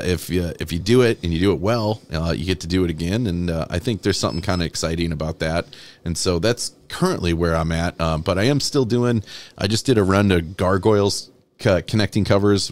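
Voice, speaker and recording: A man's voice: sits low, a little fast, a little mellow. A man chatting casually as an interview guest, rambling and chuckling, enthusiastic about his own work. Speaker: interview guest, comic book artist.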